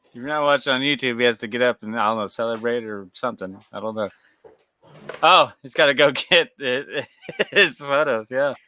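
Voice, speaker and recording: A man's voice: medium pitch, animated, normal speed, sharp tone, dry recording. A man co-hosting a podcast in loose, rambling chat, laughing often and swearing freely. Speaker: podcast co-host. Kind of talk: casual chat.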